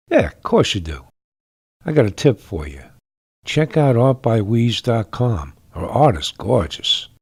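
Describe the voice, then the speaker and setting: Man, wisecracking ad read, mid-pitched, dry close sound. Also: a little mellow, no room sound. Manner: streetwise, wisecracking, conspiratorial. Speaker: ad announcer. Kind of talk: casual radio ad.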